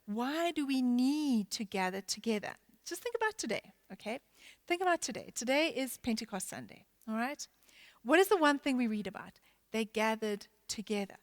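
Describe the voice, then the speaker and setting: Woman church leader, somewhat high voice, hesitant and searching, dry close sound. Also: lively intonation, no room sound. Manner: halting, many restarts and fillers. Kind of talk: panel discussion.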